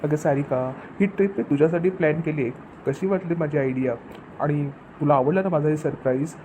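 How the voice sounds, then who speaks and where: A man's voice: a little high, a little fast, mellow, close to an everyday voice, rough recording. A man playing a cheerful, devoted father in a cartoon family comedy, speaking in an affectionate, earnest way. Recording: clear background noise, ambience.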